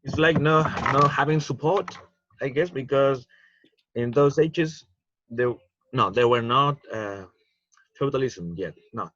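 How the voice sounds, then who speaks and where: A man's voice: mid-range voice, unhurried, a bit bright, no room sound, highs cut off. A male student answering hesitantly in an online class, searching for words over a laptop mic.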